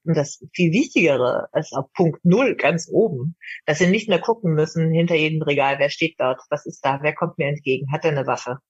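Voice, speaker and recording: A man's voice: fairly high pitch, moderate pace, breathy edge, dry recording. A man being interviewed, explaining things in spontaneous talk, on a slightly muffled recording. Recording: dry but dull, band-limited sound.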